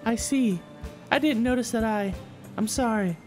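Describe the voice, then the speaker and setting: A man's voice: extremely high pitch, moderate pace, over music, voice pushed up. A man voicing a shy, refined, bookish anime schoolgirl who speaks formally and hesitantly, in a visual novel read-aloud. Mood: earnest. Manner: hesitant, stammering, formal wording.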